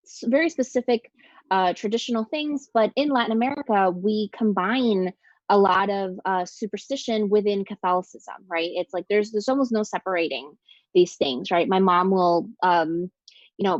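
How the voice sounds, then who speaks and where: A woman's voice: medium pitch, no room sound. A woman author talks through a panel question in a casual, chatty way, restarting sentences and leaning on fillers. Her voice sits mid-range, and the audio is dry and a little dull and lo-fi. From Ecuador. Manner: chatty, self-interrupting, with many fillers and restarts.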